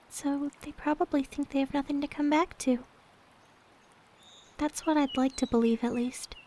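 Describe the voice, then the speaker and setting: A woman playing a gentle, devoted young maid in an ASMR roleplay, speaking in a soft, sweet, tender way. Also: high voice, lightly acted. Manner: soft, sweet, tender, hesitant when confiding.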